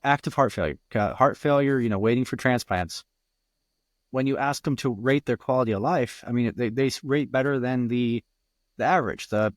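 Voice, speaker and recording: A man's voice: mid-range voice, dry recording, clean studio sound. A man hosting a podcast interview, talking in an enthusiastic, conversational way. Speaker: podcast host. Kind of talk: casual interview chat.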